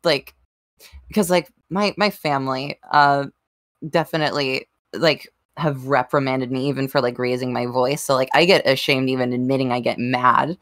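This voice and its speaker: Female, quite low-pitched voice, casual reactive podcast banter, dry sound. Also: steady tone, moderate pace, fairly bright tone, dry recording. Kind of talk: casual group chat.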